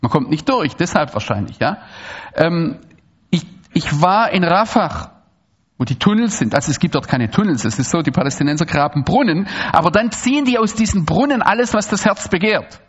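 Animated man lecturing an audience. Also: fairly high pitch, normal speed, breathy edge, highs cut off. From Swabia, Germany. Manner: animated, digressive, frequent restarts and asides. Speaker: speaker giving a public talk.

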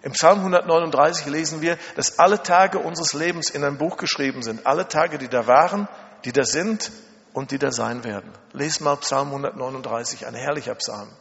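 A man's voice: a little high, a little fast, vocal fry, a bit bright. A middle-aged man from Germany preaching a sermon, telling personal stories emphatically with dramatic repetition and rhetorical questions. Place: large reverberant hall. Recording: muffled, long hall reverb.

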